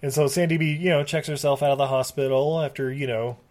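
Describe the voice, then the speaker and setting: A man co-hosting a casual movie podcast, recapping scenes with lots of acted-out bits. His voice sits a little high for a man, and he laughs often. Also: fairly even intonation, quick pace, darkish tone. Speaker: podcast co-host.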